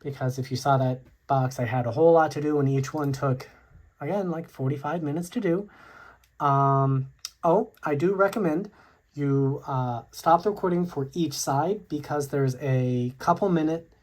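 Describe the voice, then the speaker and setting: A tech video host casually walking through a product tutorial in a hesitant, halting, informal way. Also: mid-range voice, a little mellow.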